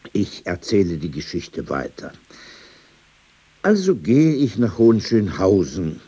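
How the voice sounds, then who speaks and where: Husky, sardonic old man. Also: fairly low pitch, mellow, full character voice. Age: older. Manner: sardonic, digressive, dismissive. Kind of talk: radio drama dialogue.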